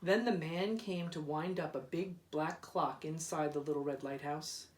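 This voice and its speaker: A man reading a children's storybook aloud in a deliberate, dramatic way, drawing out repeated words. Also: a little high. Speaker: school principal reading aloud. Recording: roomy echo, distant microphone.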